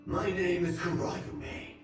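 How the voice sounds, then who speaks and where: A man's voice: high voice, steady tone, slow pace. A man voicing a smug nightmare monster villain in a video game, speaking in a theatrical, formal, gloating drawl. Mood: smug, menacing. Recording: cavernous echo, distant, music behind.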